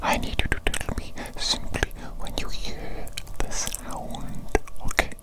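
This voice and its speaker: An evil doctor played by a woman speaks in a breathy, unvoiced whisper for an ASMR medical roleplay. The delivery is very slow and deliberate, giving careful instructions and repeating soft praise to a creature patient. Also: lightly acted. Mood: hushed, instructing, praising. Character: evil doctor calmly examining a big creature.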